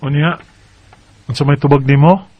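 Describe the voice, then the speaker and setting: A man's voice: fairly high pitch, fairly even intonation, moderate pace, dry recording, lightly acted. Radio drama suitor, male, twenties, Cebuano accent: tender, playful actor in role, over music, lo-fi sound. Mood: tender, teasing. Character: tender, playful 28-year-old man courting a single mother. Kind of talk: Bisaya radio drama acting and letter narration. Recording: dull lo-fi audio with music bed.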